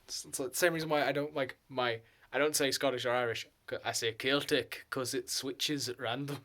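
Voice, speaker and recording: A man's voice: mid-range voice, fairly even intonation, unhurried, sharp tone, slight background noise. A man vlogging alone, rambling and laughing as he slips in and out of put-on voices and accents. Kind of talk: rambling solo vlog.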